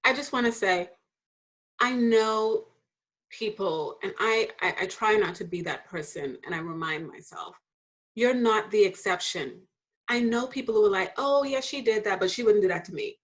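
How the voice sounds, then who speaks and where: A woman hosting a casual faith conversation. She talks in a lively way, laughs often, and jumps into high voices when quoting people. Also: moderate pace. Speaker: host.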